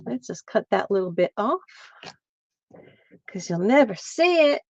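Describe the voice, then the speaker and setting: Slow-talking chatty woman crafting live. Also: medium pitch, sing-song, vocal fry, dry recording, lo-fi sound. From central Pennsylvania. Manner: chatty, talks to viewers while crafting, laughs often. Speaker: crafting livestream host. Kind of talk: casual craft livestream chat.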